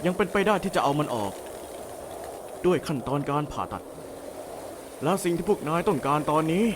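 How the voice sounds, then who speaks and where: Cast: a man as a high-voiced charming rogue, flippant, for a game dub with noisy ambience. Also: lively intonation, a little fast, natural voice. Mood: reassuring. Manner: wisecracking, breezy and flippant. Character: cocky, smooth-talking male adventurer ally. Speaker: fan voice actor dubbing a game. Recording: game ambience noise behind voice.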